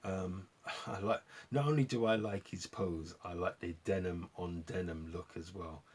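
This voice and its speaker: A man hosting a vlog, chatting casually and appreciatively in a rambling, gentlemanly way as they review records. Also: deep voice, measured pace, breathy edge. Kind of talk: casual album review chat to viewers.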